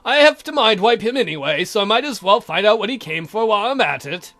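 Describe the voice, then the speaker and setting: A man's voice: sits high, expressive, quick pace, bright, forceful tone, soft background music, exaggerated voice. A man voicing a suave, cheeky count with polite, theatrical, condescending menace for a visual novel game. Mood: businesslike.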